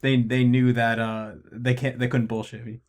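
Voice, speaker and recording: A man hosting a casual interview, talking in a relaxed, thoughtful way with some pauses and restarts. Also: medium pitch. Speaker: host, interviewer.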